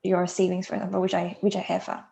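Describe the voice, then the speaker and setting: A woman, a student answering in an interview in a flat way, full of filler likes. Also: fairly low pitch, fast, gravelly, dull audio. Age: 20s. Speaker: university student. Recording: echoey room.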